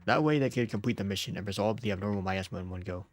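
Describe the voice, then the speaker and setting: A man with a fairly low voice gives a casual game playthrough while sick. He talks informally and jokingly as he reads story text aloud, with faint music underneath. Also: rapid-fire. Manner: informal, jokey, often chuckling. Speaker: game streamer.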